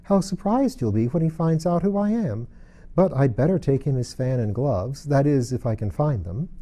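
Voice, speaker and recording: A man's voice: medium pitch, lively intonation, normal speed, mellow, pitched above the performer's own voice, lightly acted. A man voicing an earnest, polite, sensitive little girl in a storybook. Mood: surprised.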